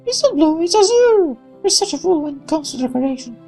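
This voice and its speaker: A man's voice: very high-pitched, very animated, brisk, vocal fry, darkish tone, soft background music, voice pushed up, full character voice. A man voicing a spoiled, gushing young daughter in a visual novel, in a girlish way. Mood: haughty, teasing.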